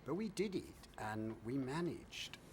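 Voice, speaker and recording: A man's voice: mid-range voice, big pitch swings, a little slow, a little mellow, slight background noise. A man, a film crew member telling a production anecdote. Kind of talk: interview anecdote.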